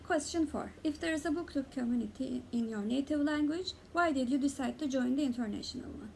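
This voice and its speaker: A young Turkish woman with a Turkish accent, talking casually as a book vlogger and pausing often with "uh" between words. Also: sits high, gravelly, darkish tone, slight background noise. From Turkey. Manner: hesitant, many "uh" pauses between words. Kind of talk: casual tag video talk.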